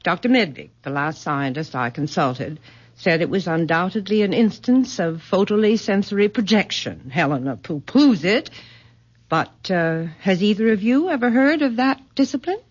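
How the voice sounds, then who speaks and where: A woman's voice: medium pitch, expressive, natural voice. Actress as swooping, genteel, controlling mother. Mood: patronizing, composed. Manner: formal, measured, condescending endearments. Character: genteel, controlling middle-aged mother, coolly composed. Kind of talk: vintage radio drama acting. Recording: dull vintage radio recording.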